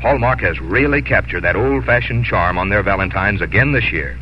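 A man's voice: lowish voice, normal speed. A man announcing a scripted 1950s radio sponsor message in a folksy, conversational manner. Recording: dull, noisy 1950s radio broadcast.

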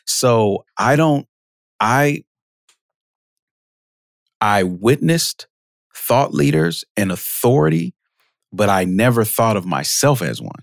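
A man guesting on a podcast, casually telling stories about his career, laughing often and jumping up in pitch for quoted reactions. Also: slow pace, creaky, long pauses, no echo, clean studio sound. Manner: lively storyteller, jumps high for quoted reactions, laughs often. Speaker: podcast guest, marketing automation expert. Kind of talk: casual interview storytelling.

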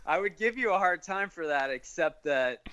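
A man's voice: high voice, expressive, moderate pace, bright, forceful tone. A man co-hosting a podcast in casual chat. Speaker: podcast co-host.

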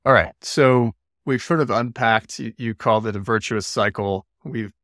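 Low-voiced man hosting an interview. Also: moderate pace, a bit bright, no room sound, clean studio sound. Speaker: podcast host. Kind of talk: interview questions.

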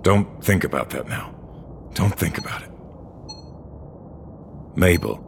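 Weary old courier, extremely low voice, brooding, sardonic, noir radio play, faint ambient noise behind. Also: male voice, animated, slow, vocal fry, heavily acted. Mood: weary, resolute. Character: weary, bitter old sci-fi courier, gruff, sardonic loner. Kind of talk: first-person noir audio drama acting.

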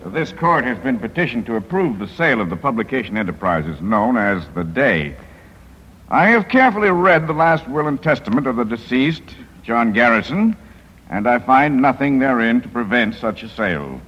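An actor playing a formal, ponderous probate judge with an official, halting manner in a 1950s radio drama. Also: male voice, mid-range voice, big pitch swings, a bit bright. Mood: formal, flustered. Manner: halting, throat-clearing, official. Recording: muffled 1950s radio, echoing courtroom reverb.

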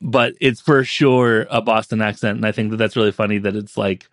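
A man on a podcast panel chatting casually about films, with a tentative, halting and wry way of talking. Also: lowish voice, steady tone, no room sound, clean studio sound. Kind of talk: casual film chat.

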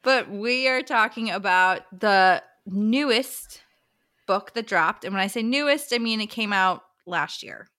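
A woman's voice: mid-range voice, a little slow, pushed and bright. A woman hosting a book podcast, chatting casually in a slangy, exclamatory way. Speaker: podcast host.

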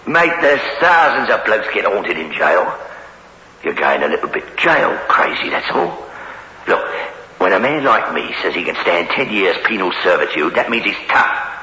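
An old radio thriller recording, roomy and reverberant. a hardened jailbird gangster with a low, very sharp-edged voice, bullying and cajoling in rough criminal slang. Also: male voice, big pitch swings, normal speed, highs cut off, exaggerated voice. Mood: tough, boastful. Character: hardened, menacing career criminal convict, male. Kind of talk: 1960s radio suspense drama acting.

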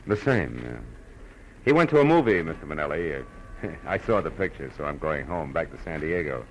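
An actor playing a wry, terse private detective in a 1940s radio drama, on an old recording. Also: male voice, extremely low pitch, sing-song, brisk, slight background noise, low-quality recording. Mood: dry, probing. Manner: terse, dry, sardonic. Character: hard-boiled, wry male private detective. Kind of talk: 1940s radio acting, first-person narration.

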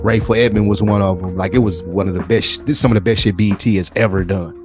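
Male podcast co-host, American, lower-pitched voice, loose profane chat, music underneath. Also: steady tone, fast talker, a little mellow. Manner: slangy, profane, informal. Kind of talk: casual chat.

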